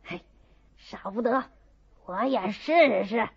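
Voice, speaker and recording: A woman's voice: on the high side, takes it slowly, gravelly, husky, exaggerated voice. A woman voicing a good-humoured, humble old country granny, hesitant and folksy, in a classic novel reading. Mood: humble, jovial. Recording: dull, muffled audio.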